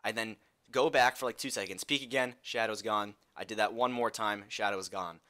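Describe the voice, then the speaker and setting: A young man with a bright, forceful voice tells a personal story in a casual video style. He is animated and conversational, slipping into dialogue as he recounts things. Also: fairly low pitch, fairly quick. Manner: animated retelling, acts out dialogue.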